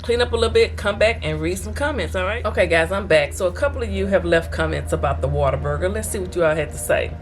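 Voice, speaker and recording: A woman's voice: on the low side, fast, hardly any pauses, soft background music. A woman from Texas, hosting an eating show and reading viewer comments aloud in a chatty, folksy way. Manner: chatty, folksy, talks straight to her viewers.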